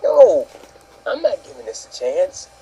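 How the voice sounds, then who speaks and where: A man's voice: high-pitched, huge pitch swings, a little slow, creaky, warm tone, a little hiss or hum, rough recording. A man co-hosting a casual opinion show, ranting indignantly and swearing as he goes. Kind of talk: casual opinion rant with a co-host.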